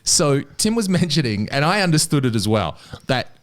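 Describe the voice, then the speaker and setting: A man's voice: on the high side, very animated, moderate pace. A man hosting a podcast with casual, joking banter and some swearing. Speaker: podcast host.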